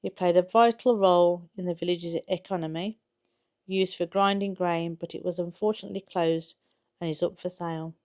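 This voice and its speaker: A woman's voice: lowish voice, fairly even intonation, normal speed, darkish tone. A woman reading a scripted history narration aloud in a factual, storytelling manner. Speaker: channel co-host narrating a history video.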